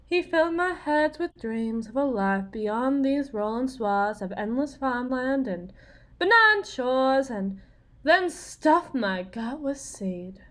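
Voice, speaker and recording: A woman playing a desperate, wounded, wry farm wife in an ASMR drama roleplay, with a folksy, sardonic, halting delivery. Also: high-pitched, animated. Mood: bitter, rueful.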